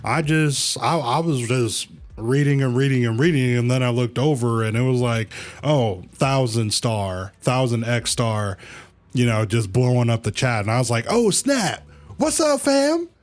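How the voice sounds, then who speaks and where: A man chatting casually to viewers as a livestreamer, halting and rambling, with easygoing slang. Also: mid-range voice, expressive. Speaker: live streamer, host of own channel. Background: music.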